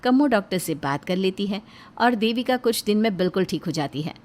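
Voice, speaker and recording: A woman's voice: mid-range voice, animated, fairly quick, a little mellow. A woman reading scripted narration for a moral story as its storyteller.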